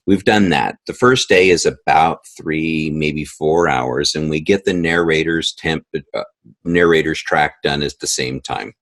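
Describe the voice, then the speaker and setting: A man's voice: extremely low pitch, unhurried, a bit bright, no room sound. A man speaking conversationally in an interview, explaining his work as a composer and sound editor and acting out little asides as he goes.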